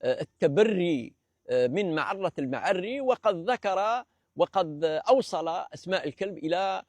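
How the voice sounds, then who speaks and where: A man's voice: high-pitched, lively intonation, darkish tone, no echo. A man from Algeria giving an unscripted lecture, explanatory and hesitant, with many fillers and restarts. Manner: measured, explanatory. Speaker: presenter of a language talk series.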